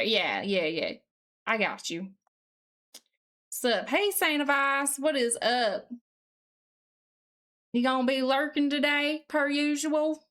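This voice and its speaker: A woman livestreamer chatting casually and reading aloud in an exaggerated, put-on deep Southern US accent, with a playful, folksy manner. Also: a bit bright. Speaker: live streamer.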